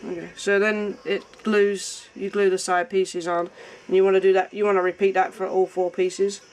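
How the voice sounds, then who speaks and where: A woman chatting with a friend in a home craft room, calmly explaining the gluing steps of a paper craft. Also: medium pitch, normal speed. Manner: relaxed, adds short explanations. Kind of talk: casual chat during a craft tutorial.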